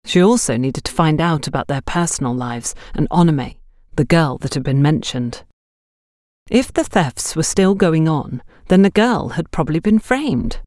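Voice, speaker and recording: A woman's voice: deep voice, animated, moderate pace, a little mellow, dry recording, studio quality. A woman narrating a scripted mystery story as an audiobook reader.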